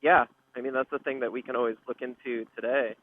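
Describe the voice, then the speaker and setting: A man's voice: medium pitch, fairly even intonation, quick pace, no room sound. A man chatting casually during a ride, heard over a motorcycle helmet intercom. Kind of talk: casual chat while riding. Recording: muffled intercom radio, faint noise.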